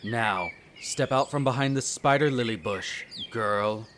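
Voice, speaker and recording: A man's voice: mid-range voice, measured pace, a bit bright, slight background noise, close to an everyday voice. A man playing a smug, menacing aristocratic vampire in a scripted audio roleplay, speaking formally with condescending disdain. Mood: menacing, commanding. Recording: birdsong and nature sounds behind. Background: birdsong, garden nature ambience.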